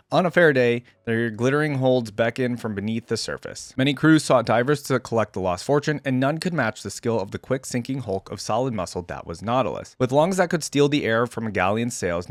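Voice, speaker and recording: A man's voice: mid-range voice, no echo, studio quality. A man hosting a reaction video, mixing casual, informal commentary with lore read aloud. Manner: informal, conversational asides. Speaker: YouTube reaction host.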